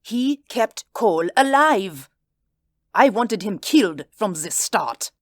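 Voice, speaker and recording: A man's voice: high-pitched, very animated, slow pace, no echo, clean studio sound, pitched above the performer's own voice, heavily acted. A man doing a broken, vengeful official. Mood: bitter. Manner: trembling, bitter and strained. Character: shaken, furious male official, desperate and vengeful.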